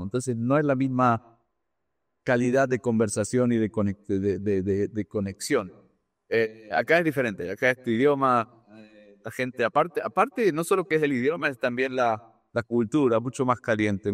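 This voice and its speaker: Male executive interviewee, medium pitch. Conversational and halting, with repeated words and English business terms. Dry sound. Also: dry recording. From Argentina. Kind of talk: conversational interview answers with anecdotes.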